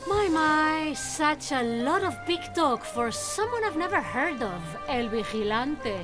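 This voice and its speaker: Game lead: cocky young female swashbuckler, high voice, lively swings, playful bravado. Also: measured pace, music in the background. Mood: cocky, taunting. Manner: self-assured, teasing, playfully dramatic. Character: cocky, daring young swashbuckling swordswoman heroine. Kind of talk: lively video game cutscene acting.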